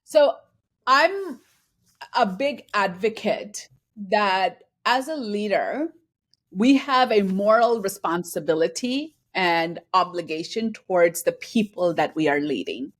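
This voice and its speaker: A woman's voice: medium pitch, animated, slow. A woman from Bangladesh, a guest in a conversational interview, speaking earnestly and reflectively and acting out quoted exchanges. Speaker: guest, finance and transformation executive.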